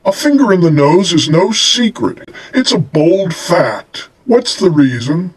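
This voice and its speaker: A man's voice: sits high, very animated, unhurried, husky, exaggerated voice. A man voicing a wise, stern male ogre who judges others in a storybook reading. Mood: questioning.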